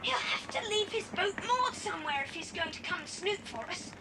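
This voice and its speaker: A performer playing an excitable, watchful boy lookout in a children's adventure radio play. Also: very high-pitched, moderate pace. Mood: excited, scheming. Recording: very noisy old cassette transfer.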